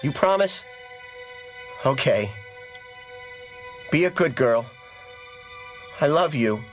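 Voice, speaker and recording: A young American haunted by a curse in a horror radio drama. His accent is American, his voice high and very animated, anxious and distraught, with music behind it. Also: slow pace. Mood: tender, strained. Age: young adult. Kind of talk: audio horror drama acting.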